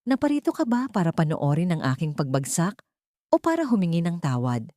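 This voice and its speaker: A woman's voice: on the low side, big pitch swings, fairly quick, warm tone, no echo, studio quality. A woman voicing a taunting, dangerous man behind bars in an audio story. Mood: mocking.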